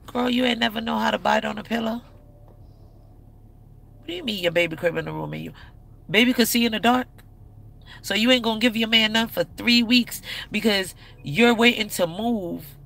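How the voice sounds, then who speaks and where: A woman's voice: fairly high pitch, normal speed, creaky, long pauses, a bit bright. A woman in her thirties giving relationship advice in a blunt, candid, slangy way. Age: 30s. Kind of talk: casual advice talk to camera.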